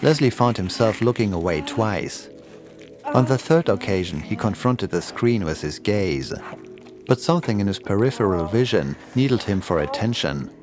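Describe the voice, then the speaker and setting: A man's voice: fairly low pitch, animated, moderate pace, over music. A man reading a scripted horror story as its narrator.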